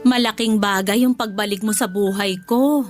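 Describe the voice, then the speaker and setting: A woman playing a loving, motherly older nanny in a Filipino radio drama, speaking tenderly and with deep emotion. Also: fairly even intonation, normal speed, faint music behind, close to an everyday voice. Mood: grieving. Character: loving older nanny, motherly, grieving her lost child.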